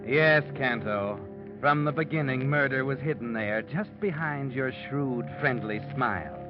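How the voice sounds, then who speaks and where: A man doing an ominous all-knowing male narrator, slow deliberate delivery, muffled old radio sound, music under it. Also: natural voice. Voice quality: low, deliberate second-person narration. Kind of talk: 1940s radio drama narration.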